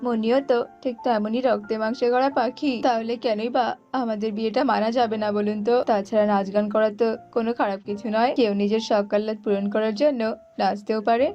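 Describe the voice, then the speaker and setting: A woman's voice: on the high side, fairly even intonation, brisk, mellow, natural voice. A woman voicing a defiant, earnest young male bird in a cartoon. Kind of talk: cartoon dubbing. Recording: muffled audio with background music.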